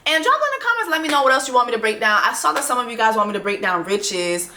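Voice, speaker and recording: A woman's voice: a little high, big pitch swings, fast talker, sharp tone, slight background noise. Fired-up, profane woman vlogger ranting. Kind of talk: ranting commentary on a docuseries. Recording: echoey room.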